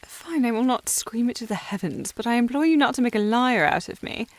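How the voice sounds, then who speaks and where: A woman's voice: on the high side, lively intonation, fairly quick, lightly acted. A woman playing a haughty young upper-class lady in a historical audio roleplay, formal and teasing in manner. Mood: arch, teasing.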